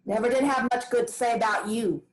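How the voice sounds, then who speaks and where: A woman reads a hard-bitten farm matriarch in a fairly high voice. She answers in short, curt lines full of scorn. She is defiant and will not give an inch in her own home. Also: steady tone, fairly quick, natural voice, poor audio. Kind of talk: staged play reading.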